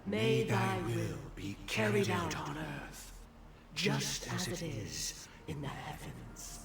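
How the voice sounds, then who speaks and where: An actor playing a dignified, devout older patriarch in a video game, self-assured, formal and oratorical. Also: male voice, on the high side, very animated, slow pace, creaky, over a noisy crowd, full character voice. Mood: devout, imploring. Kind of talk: scripted video game acting. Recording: roomy reverb under loud music.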